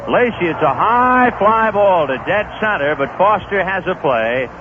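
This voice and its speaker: A man calls the baseball game in an easygoing, folksy way, with chatty asides between pitches. His voice sits a bit high and swings lively up and down. It comes through a thin, tinny old AM radio broadcast with faint hiss. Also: a little slow. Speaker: play-by-play baseball announcer. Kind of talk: radio play-by-play commentary.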